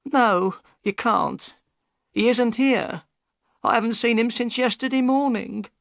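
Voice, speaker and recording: An upset boatman's wife, high pitched and plaintive, polite sir-and-madam type, storybook voice, really dry recording. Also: slow pace, gravelly, fairly bright tone, dry recording, heavily acted. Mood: wary, upset. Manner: deferential, plaintive. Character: large, upset, worried boatman's wife, tearful.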